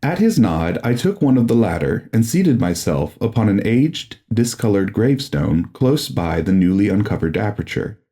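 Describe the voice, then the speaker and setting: A male audiobook narrator with a low, dark and mellow voice. He reads gothic horror in a solemn, formal manner, with a very animated, wide-swinging pitch that gives the prose drama. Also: normal speed, hardly any pauses.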